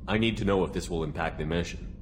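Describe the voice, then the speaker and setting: An actor playing a direct, businesslike military commander in a video game, speaking plainly and asking pointed questions. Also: male voice, low-pitched, fast talker, slight background noise, lightly acted. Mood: businesslike, probing. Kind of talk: video game dialogue acting.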